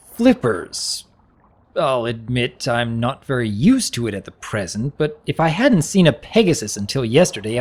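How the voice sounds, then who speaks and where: A man's voice: a little high, voice pushed up. A man voicing a young pony baron in a storybook, earnest, well-mannered and slightly awkward. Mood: mildly defensive.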